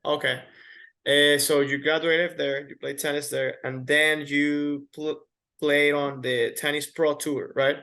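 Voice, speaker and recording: A man's voice: on the high side, level tone, unhurried, bright, forceful tone. A man hosting an interview, upbeat and chatty, often restarting his questions. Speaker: host.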